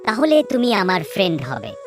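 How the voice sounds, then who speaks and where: A woman's voice: mid-range voice, huge pitch swings, normal speed. A woman voicing a curious, innocent little boy in a cartoon story, asking questions in an eager, childlike way. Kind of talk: Bengali cartoon story dialogue. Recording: music under the voice.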